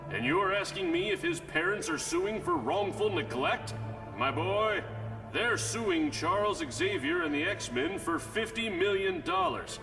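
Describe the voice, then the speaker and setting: A man's voice: high voice, creaky, exaggerated voice. A man voicing an indignant lawyer in a motion comic, ranting with heavy emphasis. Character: indignant male lawyer lobbying against mutants. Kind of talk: dramatic motion comic voice acting. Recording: distant, long reverb, loud music behind.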